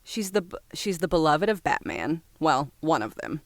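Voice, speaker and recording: A woman's voice: low-pitched, very animated, normal speed, no room sound. A woman reading out a list as casual commentary, breaking off into informal, opinionated asides with some swearing. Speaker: reviewer reading a comics top-ten list. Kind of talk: casual list commentary, partly read from a script.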